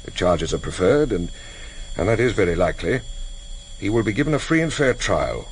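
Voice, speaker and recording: An actor playing a grave, courteous British security officer in a radio drama, speaking in a measured, formal, quiet way. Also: male voice, on the low side, sing-song, low-quality recording. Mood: calm, insistent. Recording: vintage radio recording, faint background noise.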